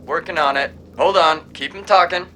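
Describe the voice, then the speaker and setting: Man playing a bright-voiced harried hacker. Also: on the high side, moderate pace, slight background noise. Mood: harried, focused. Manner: casual, slangy. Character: harried, irreverent male tech hacker feeding hurried instructions. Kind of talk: audio drama acting.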